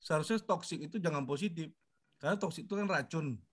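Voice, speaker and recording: Higher-pitched man asking a question. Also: fast talker, slightly rough. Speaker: audience participant asking a question. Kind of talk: casual spoken question and comment.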